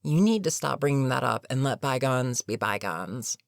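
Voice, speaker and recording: A voice actor playing an older, experienced villain in an audio roleplay, weary and dryly sarcastic but reluctantly protective, with a wry, deliberate delivery. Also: mid-range voice, lively intonation, vocal fry, no room sound, clean studio sound. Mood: exasperated, wry. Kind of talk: intimate audio roleplay monologue.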